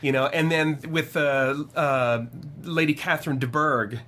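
A man's voice: on the high side, unhurried, fairly bright tone. A man hosting a podcast, interviewing casually with informal, rambling phrasing.

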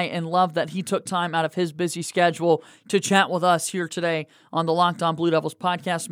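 A man's voice: high-pitched, fairly bright tone, clean tone, no room sound, clean studio sound. A man from North Carolina with an American accent, hosting a sports interview podcast. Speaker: podcast host.

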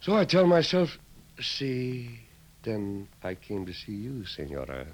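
An actor playing a courtly, flattering Mexican gunslinger with a Mexican accent in a 1950s radio western. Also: male voice, mid-range voice, slow pace, a little hiss or hum. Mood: sly. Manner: courtly, sly, flowery phrasing. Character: masked hero posing as smooth, flattering Mexican gunslinger.

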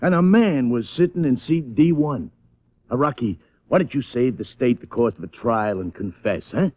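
A man playing a gruff, sarcastic, slow-witted American police sergeant with slangy, ungrammatical speech in radio crime drama. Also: medium pitch, lively intonation, no echo, close to an everyday voice. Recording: muffled 1950s radio recording.

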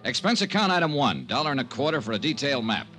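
Male actor for wry American freelance investigator, 1950s radio drama, mid-pitched, animated yet dry, over music. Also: fast talker, natural voice. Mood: crisp, wry. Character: wry, skeptical freelance insurance investigator, a man. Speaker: lead actor and narrator.

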